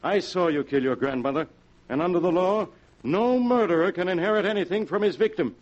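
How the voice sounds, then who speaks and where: A shocked, stern family lawyer. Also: male voice, high-pitched, a little fast. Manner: urgent, shocked. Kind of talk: 1940s radio acting. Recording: dull 1940s radio recording.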